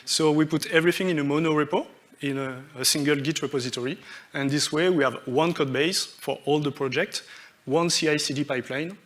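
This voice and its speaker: A man giving a conference talk on stage, halting with many fillers and restarts as he lists his points. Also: on the high side, fairly bright tone. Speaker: conference speaker.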